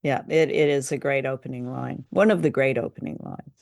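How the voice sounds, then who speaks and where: A woman co-hosting a conversational review show, speaking in measured, hesitant phrases. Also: very deep voice, vocal fry, a little mellow, no echo, clean studio sound. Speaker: podcast co-host. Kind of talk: conversational radio drama review.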